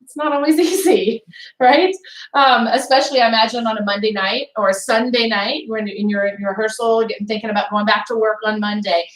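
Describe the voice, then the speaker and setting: Bright-voiced woman teaching from afar. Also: on the high side, steady tone, brisk. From came from Baltimore. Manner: friendly, encouraging, asks the group questions. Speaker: voice teacher, guest clinician. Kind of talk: workshop teaching to a group. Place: rehearsal room with a group. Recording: echoey room, mic far off.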